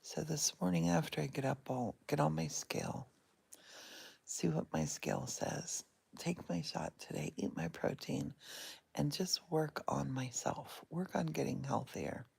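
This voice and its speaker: Whispery low-voiced woman in bed. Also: gravelly, breathy edge. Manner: soft and whispery, unhurried. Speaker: vlogger. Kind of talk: casual guided meditation vlog. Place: in bed at home. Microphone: phone held in one hand.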